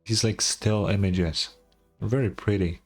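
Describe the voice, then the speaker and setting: A young woman streaming a game, giving casual, laid-back, sweary reaction commentary in a husky voice. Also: rumbling low, steady tone, measured pace. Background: game music.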